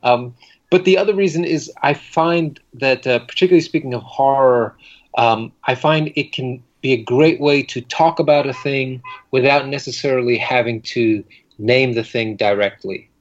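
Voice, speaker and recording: A man speaking as a writer being interviewed, thoughtful and halting, with frequent reflective pauses. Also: medium pitch. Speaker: guest fiction writer. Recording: dull lo-fi recording.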